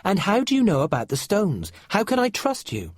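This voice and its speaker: A man voicing a brave, practical young boy sailor who shouts urgently over a storm. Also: high voice, wildly swooping pitch, moderate pace, no echo, pitched above the performer's own voice, full character voice. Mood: curious.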